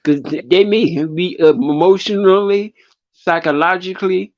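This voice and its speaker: A man's voice: sits high, fairly even intonation, normal speed. A man speaking as a panel guest in a casual discussion, on a muffled connection.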